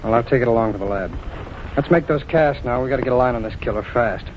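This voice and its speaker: An actor playing a calm, methodical federal agent in a 1940s radio drama, talking in a matter-of-fact, businesslike way. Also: male voice, mid-range voice, fast, a little hiss or hum, lightly acted. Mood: investigating. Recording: muffled 1940s radio broadcast.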